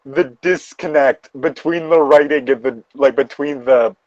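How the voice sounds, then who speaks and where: A man with a slightly high-pitched voice gives casual comic commentary. He swears freely and sounds incredulous and easily amused, recorded very dry with no room sound. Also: a little slow, no echo, lo-fi sound. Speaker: podcast co-host reacting to a story.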